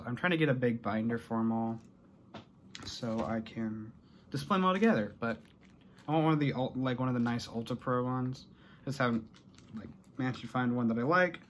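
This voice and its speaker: A man's voice: mid-range voice, slight background noise. A young adult American man chatting casually and unscripted to camera in a rambling way, as he shows off a haul in a small room. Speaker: vlogger showing a collection haul. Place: small bedroom, indoors.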